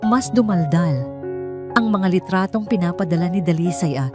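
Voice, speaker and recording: Low-voiced woman narrating a dramatic story. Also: expressive, moderate pace, over music. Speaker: narrator of audio drama stories.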